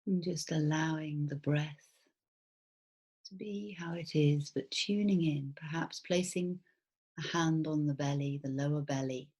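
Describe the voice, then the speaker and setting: Female meditation guide, low and breathy, soft and soothing, very unhurried delivery with long pauses. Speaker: mindfulness teacher. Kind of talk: guided body scan meditation.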